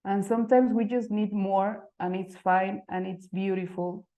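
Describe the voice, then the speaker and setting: A woman's voice: medium pitch, flat delivery, a little slow, narrow, tinny audio. A woman in her thirties from Mexico, a Spanish speaker with a Mexican accent, giving a conference talk in English about her design work, searching for words with fillers and restarts. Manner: hesitant, frequent fillers and restarts, non-native phrasing. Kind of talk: conference presentation. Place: lecture venue.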